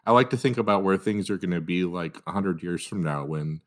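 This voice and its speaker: Man, deep voice, easygoing podcast co-host who jokes and laughs a lot. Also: brisk, a bit bright. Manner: easygoing, joking, laughs often. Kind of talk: casual chat.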